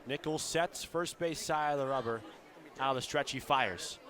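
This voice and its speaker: A man's voice: mid-range voice, normal speed, fairly bright tone. A man calling baseball play-by-play for radio in short, clipped phrases that lift with the action. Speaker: play-by-play commentator. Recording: ballpark crowd and outdoor ambience behind. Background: crowd at an outdoor ballpark.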